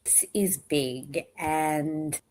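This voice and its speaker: Casting note: woman host, lower voice gone croaky from tiredness, rambly friendly chat to her stream viewers. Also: drawn-out words, darkish tone. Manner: chatty, rambling, greets viewers by name. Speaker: livestream host. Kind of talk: casual livestream chat.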